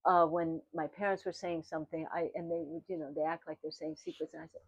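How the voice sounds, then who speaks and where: An older woman whose first language was Armenian recalls her life in an oral history interview. Her voice sits a little low, and she pauses and restarts her sentences as she thinks. The recording is dry and a bit dull, like a lo-fi room capture. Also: little pitch movement, husky, dry recording. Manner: reflective, halting, often restarting sentences.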